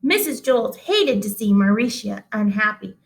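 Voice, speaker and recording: A woman reading a children's story aloud expressively, like a teacher, with deliberate pauses and emphasis. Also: medium pitch, lively intonation, vocal fry.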